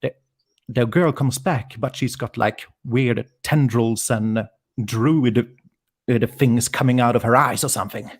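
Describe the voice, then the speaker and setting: A man's voice: mid-range voice, a little slow. A man, a guest writer on a video call, rambling and thinking aloud with fillers. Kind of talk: casual livestream chat.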